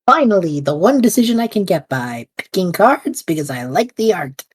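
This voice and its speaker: A woman's voice: lowish voice, big pitch swings, moderate pace, breathy edge. A woman playing a bewildered but supportive young man in an audio drama, casual, hesitant and wry.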